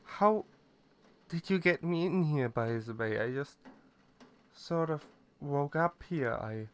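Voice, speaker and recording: A man's voice: on the high side, expressive, takes it slowly, clear, resonant tone. A man playing a shy, clueless young catboy pet in a roleplay audio drama, hesitant and stammering as he talks to the listener. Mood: puzzled, accepting. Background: ambient noise, rain.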